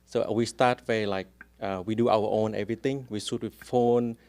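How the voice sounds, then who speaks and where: A man with a heavy Vietnamese accent talks through his business in a relaxed interview. His voice sits a little low, and he builds each point in short, earnest steps. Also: normal speed. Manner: earnest, plain-spoken, explaining step by step. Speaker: real estate agent, guest. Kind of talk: casual interview talk.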